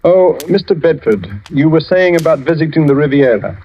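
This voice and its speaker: An actor playing a cool, courteous titled gentleman host in an old radio drama, polite and composed. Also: fairly high pitch, animated. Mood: cool, feigned concern. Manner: polite, composed, measured, understated. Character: cool, courteous English knight hosting a dinner. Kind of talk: 1940s radio acting. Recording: noisy, dull old radio recording with clicks.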